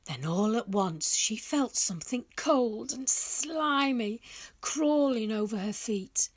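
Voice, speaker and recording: Woman, children's book narration, expressive and lively intonation, slow deliberate delivery, medium pitch. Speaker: school staff member reading aloud.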